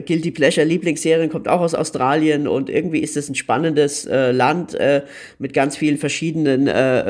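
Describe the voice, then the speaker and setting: A man co-hosting a podcast, giving a casual review and often hesitating and repeating words as he searches for phrasing. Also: on the high side. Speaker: podcast co-host recommending series.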